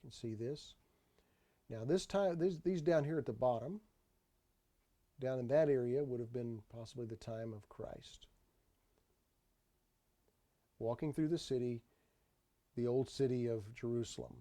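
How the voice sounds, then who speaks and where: Mellow-voiced man narrating a travel video. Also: mid-range voice. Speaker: tour narrator. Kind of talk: unscripted travel video voice-over.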